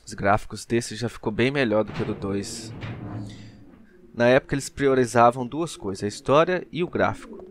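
A man's voice: medium pitch, normal speed, slight background noise. A young Brazilian man giving casual, slangy gameplay commentary as a channel host. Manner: colloquial, slangy asides to viewers.

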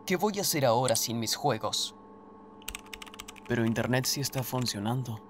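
A man's voice: medium pitch, expressive, normal speed, lightly acted. A man with a Latin American accent playing a timid, stammering teenage gamer in a fan-dubbed webtoon. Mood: muttering, bewildered. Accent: Latin American Spanish. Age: young adult. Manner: stammering, breathless, hesitant. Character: timid, panicky teenage boy, a reclusive gamer. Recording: faint background noise.

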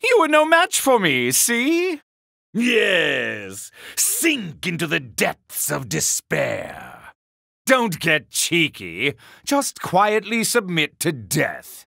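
A man's voice: a little high, wildly swooping pitch, drawn-out words, gravelly, sharp tone, no echo, clean studio sound, exaggerated voice. A male actor voicing a sneering, theatrical villainous tactician in video game battle lines, haughty and mocking, with a drawling delivery. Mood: taunting.

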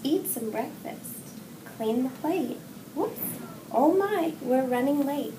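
A middle-aged woman reading a children's picture book aloud with expressive, sing-song phrasing. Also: sits high, takes it slowly. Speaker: children's story reader, hospital executive assistant. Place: indoor room with wall decorations. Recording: roomy echo, distant mic, clear background noise.

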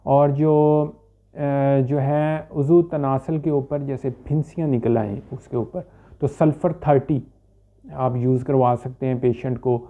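A man, a homeopathic doctor giving an informal, halting medical explanation to camera with English terms mixed in. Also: mid-range voice, fairly even intonation. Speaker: homeopathic doctor presenting a health video. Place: small furnished indoor room. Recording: dull, limited-bandwidth phone-like sound.

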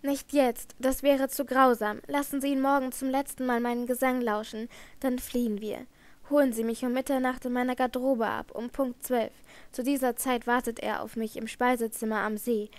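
A woman's voice: fairly high pitch, little pitch movement, moderate pace, no room sound, natural voice. Woman voicing a haunted young singer. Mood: anguished. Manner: anguished, trembling, emotional.

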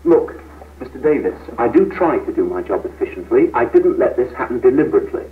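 An actor playing a frustrated, ambitious young British office worker, earnest and argumentative, in a 1970s training film. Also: male voice, mid-range voice, big pitch swings, a little fast, lightly acted. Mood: defensive. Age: young adult. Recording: tinny, noisy 1970s film soundtrack.

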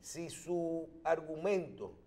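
A man's voice: a little high, animated, slow pace, darkish tone. A middle-aged man hosting a TV interview in a studio, building long questions with hesitant restarts, on a lapel mic. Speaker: TV host.

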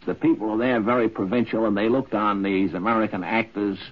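A man's voice: fairly low pitch, fairly even intonation, fairly quick. A man being interviewed, telling an anecdote from memory. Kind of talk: spoken anecdote. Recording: dull 1950s radio recording.

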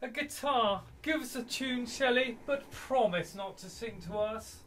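An actor playing a haughty, mocking aristocratic poet in a radio play, pompous and taunting. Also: male voice, very high voice, unhurried, a bit bright, a little hiss or hum.

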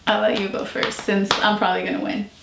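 A woman's voice: mid-range voice, flat delivery, fast talker, gravelly. A young woman hosting a casual video, playful and competitive, breaking into song now and then. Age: young adult. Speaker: YouTube host. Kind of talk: casual game chat with singing. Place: small indoor room. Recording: echoey room, faint noise.

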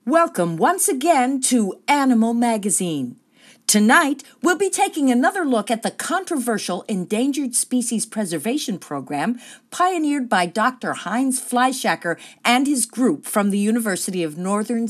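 A woman plays an earnest radio nature-show presenter in a comedy. Her voice is fairly high and animated, with mock-serious, measured narration. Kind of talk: parody documentary narration.

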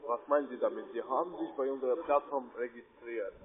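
A man's voice: medium pitch, fast talker, a crowd in the background. A man working as a call centre agent, speaking on a sales phone call. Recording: tinny noisy phone line.

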